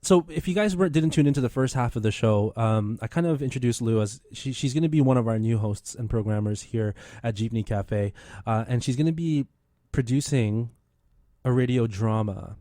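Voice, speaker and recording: A man's voice: mid-range voice, moderate pace, mellow, no echo, clean studio sound. A man hosting a casual radio interview in an enthusiastic, encouraging way. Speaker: radio show host.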